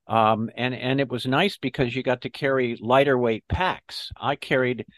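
Older male veteran guest, steady mid-pitched voice, measured and reflective, dry close sound. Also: no room sound. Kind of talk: interview recollection.

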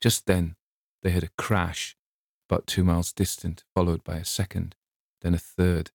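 A man's voice: deep voice, flat delivery, normal speed, gravelly, breathy edge, dry recording. A man reading scripted story narration as an audiobook narrator, recorded close.

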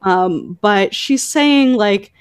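A woman co-hosting a podcast, talking through book news conversationally with hesitant pauses as she picks her words. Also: medium pitch, expressive, clear, resonant tone, no room sound, studio quality. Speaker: podcast co-host.

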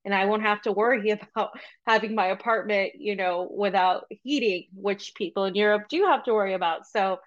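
A woman's voice: medium pitch, highs cut off. A young woman from Peru who grew up in Florida, a podcast guest talking casually and rambling with many ums. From Peru, raised in Florida. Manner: chatty and rambling, with many ums and quick asides. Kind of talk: casual interview talk.

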